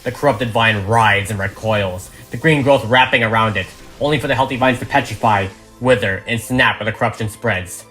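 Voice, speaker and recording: Narrator for audio drama script reading: bright, forceful, dramatic delivery, music underneath. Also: mid-range voice, normal speed, few pauses. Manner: dramatic, reads stage directions.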